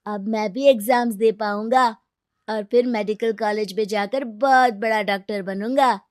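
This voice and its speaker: A woman's voice: mid-range voice, steady tone, normal speed, dry recording, studio quality, lightly acted. A woman voicing an earnest, hardworking poor young boy in a narrated story. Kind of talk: storybook voice acting.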